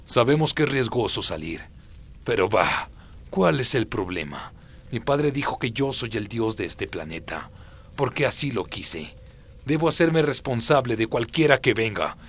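A man's voice: mid-range voice, very animated, unhurried, a bit bright, breathy edge, full character voice. A man playing a proud, impatient fallen angel who commands demons in a radio play. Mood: defiant.

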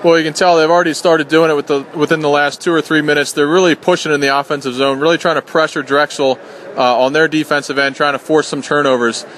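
A man giving quick, conversational color analysis on a radio hockey broadcast from rinkside. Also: on the high side, steady tone, a bit bright. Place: between the glass at an ice rink.

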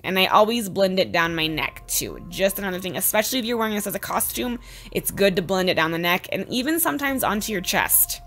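A woman in her twenties with a slightly hoarse voice, giving a casual, chatty makeup tutorial voice-over. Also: mid-range voice, expressive, a little fast, fairly bright tone. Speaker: beauty vlogger, host. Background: background music.

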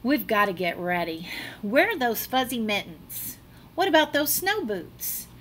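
A middle-aged woman reads a children's storybook aloud in a bright voice with very lively, swooping intonation. She takes her time, stretching words for dramatic effect. A clear layer of room noise sits behind her, as on a webcam microphone in a classroom. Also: on the high side. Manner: animated, dramatic delivery with drawn-out words. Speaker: teacher reading a picture book aloud. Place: small classroom, indoors.

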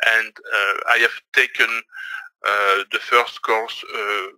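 Casting: Belgian man, non-native English, hesitant webinar presenter, forceful bright tone, dry close mic. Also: lowish voice, flat delivery, slow, dry recording, low-quality recording. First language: not English. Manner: hesitant, many fillers and restarts. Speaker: webinar presenter, trading instructor.

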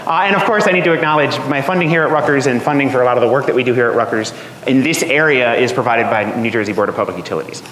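A man in his thirties presents an academic seminar in a relaxed, conversational way, sprinkling his sentences with ums and uhs. His voice sits at an ordinary pitch and rings through a roomy hall with a long reverb. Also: quick pace. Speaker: guest seminar speaker. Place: lecture room. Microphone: room microphone. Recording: echoey hall, faint noise.

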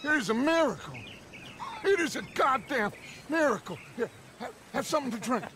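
A man playing an emotional, smooth-talking middle-aged American outlaw gang leader in a cinematic video game, with a dramatic, grandiose delivery. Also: very high-pitched, big pitch swings, normal speed, background noise. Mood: overjoyed, urgent.

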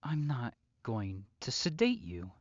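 Guy doing a creepy possessive yandere psycho boyfriend, super slow n whispery, dry muffled roleplay audio. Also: medium pitch, wildly swooping pitch, no room sound. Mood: cold devotion. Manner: slow, drawn-out, mock-tender, often dropping to whispers. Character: obsessive male yandere psychopath captor, possessive and menacing. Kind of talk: intimate roleplay audio drama monologue.

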